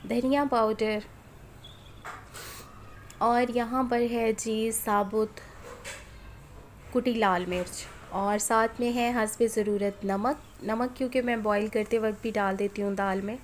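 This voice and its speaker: A woman's voice: a little high, steady tone, takes it slowly, long pauses. A woman in her thirties from Pakistan, with a sore, strained throat from flu, chatting casually through a home cooking voice-over and talking straight to viewers. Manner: chatty, homely, addresses viewers directly. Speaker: cooking vlogger. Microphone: voice-over recorded at home. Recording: faint background noise at home. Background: street and household noise.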